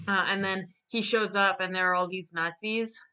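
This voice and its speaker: A woman co-hosting a casual chat, retelling a story in a halting, amused way. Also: mid-range voice, fairly even intonation, sharp tone, smooth. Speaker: co-host recapping a drawn story.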